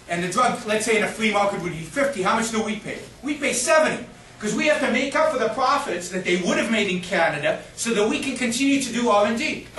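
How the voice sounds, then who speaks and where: A man's voice: sits high, bright, forceful tone. Guest speaker, middle-aged man; insistent and restless, recorded in a noisy, reverberant room. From Israel. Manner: emphatic and argumentative, with frequent restarts. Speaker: guest speaker answering audience questions. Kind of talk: impassioned lecture Q&A. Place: university lecture hall. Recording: echoey noisy hall from far off.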